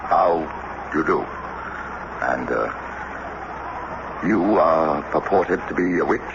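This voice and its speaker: A blunt, irascible statesman in a period radio play. His voice is low and swings widely, formal yet blustery and suspicious. The recording is noisy and old. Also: animated, slow pace, creaky, lo-fi sound, exaggerated voice. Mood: wary, skeptical. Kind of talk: radio drama acting.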